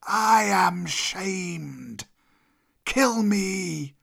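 Ancient menacing cobra, agonizingly slow. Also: male voice, high-pitched, animated, creaky, fairly bright tone, pitched above the performer's own voice, exaggerated voice. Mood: ashamed. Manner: slow, drawn-out, ominous delivery. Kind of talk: storybook character voice.

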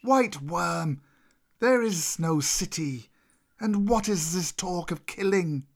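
Sly old python played by a man, slow and creaky. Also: high voice, animated, voice pushed up, exaggerated voice. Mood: scornful. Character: sly old male python, shrewd friend of a boy. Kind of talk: storybook character voice.

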